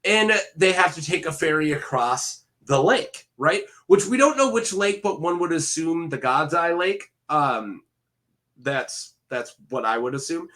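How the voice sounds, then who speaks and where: Rambling man with a bright voice. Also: a little high, lively intonation, measured pace. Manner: rambling, self-correcting, filler-heavy. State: head cold, sniffling. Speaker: video host. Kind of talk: casual solo commentary.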